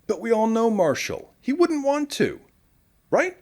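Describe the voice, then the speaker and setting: A man's voice: extremely high pitch, huge pitch swings, unhurried, darkish tone, full character voice. A man playing a chatty, stammering, friendly radio host in a post-apocalyptic audio drama. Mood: upbeat, chatty. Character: nervous, friendly male radio show host after the apocalypse.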